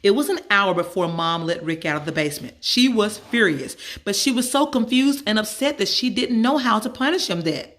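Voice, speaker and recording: A woman's voice: medium pitch, lively intonation, brisk, hardly any pauses, fairly bright tone. A woman storyteller narrating a children's story from a script.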